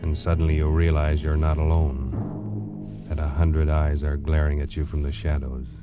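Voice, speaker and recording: Brief: extremely deep, flat male narrator, ominous, dull old recording, loud orchestra. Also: normal speed. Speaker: trailer narrator. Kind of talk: dramatic second-person narration. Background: loud orchestral music with timpani.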